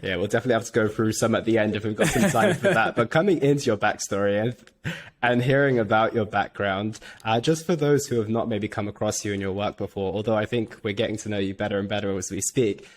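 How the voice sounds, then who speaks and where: A man hosting a podcast, asking relaxed, rambling questions in a casual interview. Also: on the low side, quick pace. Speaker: podcast host.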